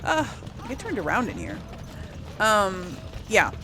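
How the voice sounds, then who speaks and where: Slow, hesitant woman thinking aloud. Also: mid-range voice, very animated, background noise. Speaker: gaming video host. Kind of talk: casual let's play commentary.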